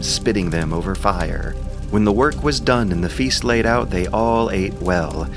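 Male narrator, lowish register: measured, solemn scripted storytelling for an epic audio drama over prominent music.

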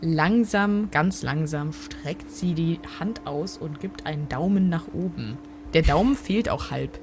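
A man's voice: on the high side, measured pace. A man improvising descriptions of a character in a role-play story, on a noisy recording with hum.

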